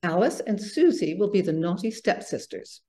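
Woman reading as kindly mouse ballet teacher, mellow-voiced. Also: medium pitch, very animated, normal speed, natural voice. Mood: announcing. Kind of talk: children's storybook read-aloud.

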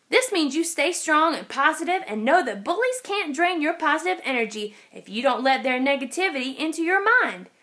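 A woman teacher reading a children's storybook aloud, expressively and with clear phrasing for young listeners. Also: high voice, a bit bright. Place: indoors, over an open picture book.

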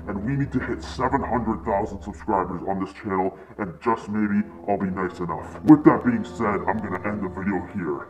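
A vengeful slasher villain, acted by a man addresses viewers of a horror vlog in a low voice. He gloats and sulks in deliberate, menacing proclamations. The sound is dull and lo-fi. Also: a little mellow, lightly acted. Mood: demanding. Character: masked movie killer villain gloating over his captive. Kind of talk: villain monologue to camera in a staged horror vlog.

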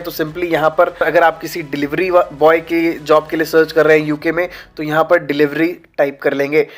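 Young man guiding viewers, slightly high voice. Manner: friendly, repeatedly addresses viewers as friends. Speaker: YouTube channel host. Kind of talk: informal tutorial walkthrough. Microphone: lapel mic. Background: faint background music.

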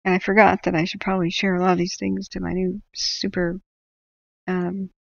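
An American woman with a gravelly, creaky voice hosts an informal livestream. She reads updates aloud in a chatty way, correcting herself and adding small asides. The sound is close and dry, slightly dull. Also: fairly low pitch, completely flat delivery, moderate pace, no room sound. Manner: chatty, self-correcting, interjects small reactions. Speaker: livestream host tracking wildfires. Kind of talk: informal livestream reading of official updates with side comments.

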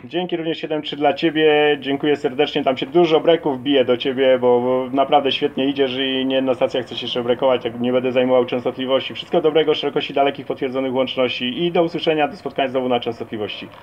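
A man's voice: mid-range voice, steady tone, fast talker, few pauses. A man chatting casually as an amateur CB radio operator during a radio contact. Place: at a CB radio set. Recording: dull lo-fi audio, faint radio noise.

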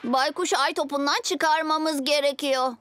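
A woman's voice: high-pitched, steady tone, normal speed, natural voice. A woman voicing an alert, sensible young boy superhero in a dubbed cartoon, with music underneath. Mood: concerned. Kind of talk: animated cartoon dubbing.

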